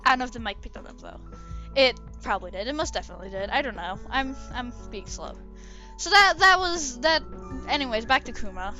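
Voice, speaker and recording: High-voiced woman rambling over a speedpaint. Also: animated, fairly quick, bright, forceful tone, highs cut off, faint music behind. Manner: rambling, hesitant, self-correcting. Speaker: artist commenting on own drawing. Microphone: desk microphone.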